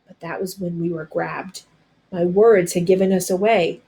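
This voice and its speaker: A woman narrating a storybook aloud as a storyteller, with dramatic, deliberate phrasing. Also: on the low side, steady tone, mellow.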